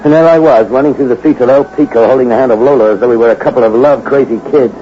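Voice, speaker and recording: A man's voice: medium pitch, racing, dark tone. A man narrating and acting the lead in a 1940s noir radio drama, speaking in a hard-boiled, clipped, sardonic way. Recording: muffled old radio recording with music.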